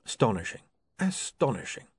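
A man's voice: on the low side, sing-song, normal speed, slightly rough, no echo, exaggerated voice. A man voicing an urbane older city ruler in an audiobook, dry, formal and politely menacing. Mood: polite amusement. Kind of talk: audiobook character voice.

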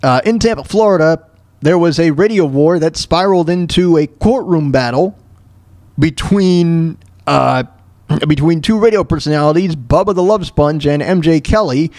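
An American man hosting a talk show solo, rambling casually through news and sports in a halting, stammering way, repeating words and phrases. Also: fairly high pitch, darkish tone, dry recording.

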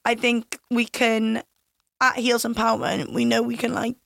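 Woman host, chatty and laughing, slightly high voice, dry studio sound. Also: completely flat delivery, creaky, a bit bright, no echo. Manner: chatty, laughs often, quick agreeing fillers. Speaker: podcast host, dance class leader. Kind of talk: casual chat.

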